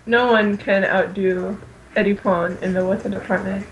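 A woman's voice: mid-range voice, flat delivery, normal speed, lo-fi sound, slight background noise. A woman co-hosting a fan podcast in an informal, giggly, casual chat style.

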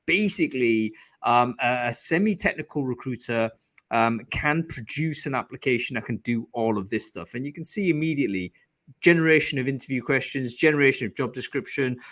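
A man's voice: medium pitch, lively intonation, brisk, a bit bright, no echo. A man hosting a live online show, talking in a casual, enthusiastic way with many fillers and restarts. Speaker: host of a live recruiting show. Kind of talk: casual live-stream chat.

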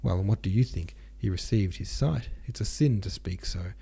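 A man's voice: fairly low pitch, normal speed, gravelly, warm tone, breathy edge, heavily acted. Man voicing a rambling, pious old peasant pilgrim woman. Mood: horrified, admonishing. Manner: rambling, earnest, pious, admonishing. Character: garrulous, devout old peasant pilgrim woman, easily shocked. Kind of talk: audiobook character reading.

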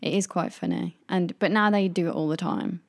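A woman's voice: fairly low pitch, fairly quick. A young British woman in casual chat as a podcast co-host, chatty and playful, laughing often.

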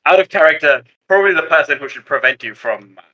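Male tabletop player, high-pitched and very bright, casual improvised role-play. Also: lively intonation, fairly quick, lo-fi sound.

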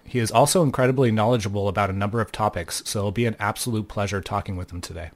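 A man hosting a podcast, reading a measured, welcoming introduction and then asking his guest a question. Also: on the low side. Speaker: podcast host.

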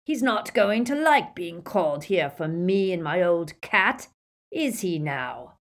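A woman voicing a gruff, defiant old male pub barman in an audiobook, scornful and quick-tempered. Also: lowish voice. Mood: defiant, sarcastic.

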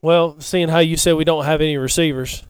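Clear, smooth-voiced man chatting sports. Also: a little high, steady tone, brisk, no room sound. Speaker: sports podcast co-host. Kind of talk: casual sports chat.

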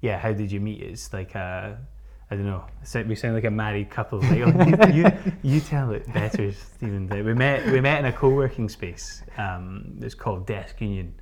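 A man's voice: lowish voice, normal speed, hardly any pauses, a little mellow. A young man telling how he started his own business, rambling and hesitant with plenty of fillers, laughing at his own mistakes. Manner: rambling, hesitant, self-deprecating. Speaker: business co-founder guest. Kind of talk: casual interview chat.

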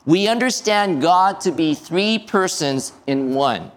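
A male pastor delivering a sermon in a slow, measured way, letting his voice fall at the end of each phrase. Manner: slow, measured preaching with lively pitch and drops at phrase ends.

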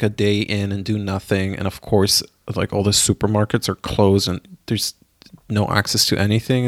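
Low-voiced man chatting with fillers. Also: no echo, clean studio sound. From Israel. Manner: hesitant, many fillers and restarts. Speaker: podcast co-host. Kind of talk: casual chat.

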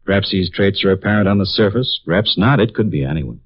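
An actor playing a brooding, cynical young psychology professor in a 1950s radio drama, speaking in a somber way. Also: male voice, deep voice, animated, quick pace, dry recording. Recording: dull lo-fi 1950s radio broadcast.

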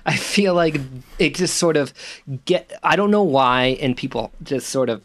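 A man's voice: fairly high pitch, expressive, measured pace, fairly bright tone. A man co-hosting a film podcast, speaking in a laid-back, unbothered way. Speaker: podcast co-host. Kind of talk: casual film discussion.

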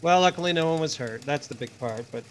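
A man's voice: on the high side, fast, a little hiss or hum. A man co-hosting a podcast, chatting casually and asking interview questions. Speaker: podcast co-host.

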